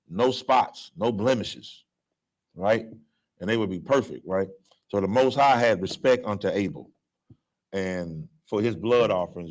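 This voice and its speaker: A man, leading a scripture class in a casual, coarse way and quizzing his listeners with "right?". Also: on the low side. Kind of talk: street-style scripture lecture.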